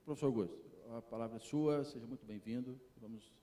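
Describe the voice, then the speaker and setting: Mellow-voiced man introducing a speaker. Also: mid-range voice, a little fast. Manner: hesitant, with repeated "é" fillers. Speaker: event moderator. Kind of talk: formal introduction.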